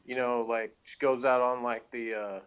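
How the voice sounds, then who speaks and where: A man's voice: mid-range voice, little pitch movement, normal speed, clear, resonant tone. Man, dull lo-fi sound. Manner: jokey, teasing. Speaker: podcast co-host. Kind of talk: casual joking banter.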